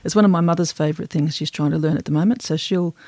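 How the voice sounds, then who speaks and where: A woman's voice: sits low, quick pace, dark tone, no room sound. A woman interviewing a guest in a casual, conversational way as the host of a show. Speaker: podcast host.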